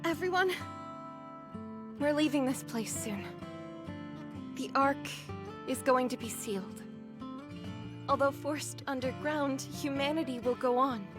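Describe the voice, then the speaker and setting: An actress voicing a gentle, earnest squad leader in a game cutscene, measured and sincere. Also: female voice, high voice, over music. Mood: solemn, grateful. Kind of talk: scripted game cutscene acting.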